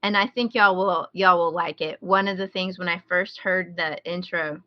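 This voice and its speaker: A woman's voice: lowish voice, steady tone, normal speed, highs cut off. A woman with an American accent chatting casually as a podcast co-host, recommending things she enjoys. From rural Midwest United States.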